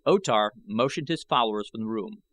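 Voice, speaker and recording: A man's voice: fairly low pitch, expressive, a little fast, a bit bright. A man narrating an adventure novel as a dramatic scripted audiobook reading.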